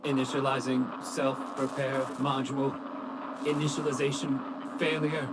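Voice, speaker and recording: A man voicing a damaged protective robot in a video game, speaking in halting, machine-like fragments. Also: mid-range voice, very noisy, natural voice. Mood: urgent, mechanical. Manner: halting, flat machine-like phrases. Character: malfunctioning protective robot, halting and single-minded.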